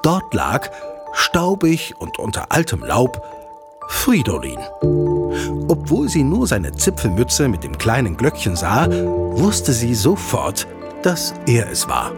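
Male narrator, kids audio story, super varied pitch, music clearly behind. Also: wildly swooping pitch, slow pace. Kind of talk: scripted story narration for children's audio drama.

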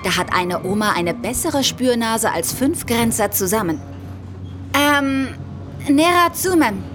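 A woman's voice: on the high side, animated, measured pace, over music. A woman playing a worldly, self-assured young smuggler in a radio play, wry and guarded as she tells her story. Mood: wary, wry. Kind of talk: first-person radio play narration.

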